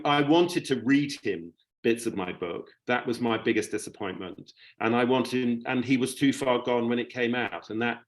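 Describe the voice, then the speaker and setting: A middle-aged man with a fairly low voice. He talks reflectively as a panel guest, pausing and restarting as he recalls personal memories.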